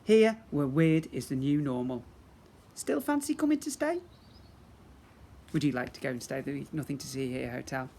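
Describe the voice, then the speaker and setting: A man's voice: mid-range voice, sing-song, moderate pace, gravelly, warm tone. A man narrating a children's story expressively, as a teacher reading to young pupils. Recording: faint background noise.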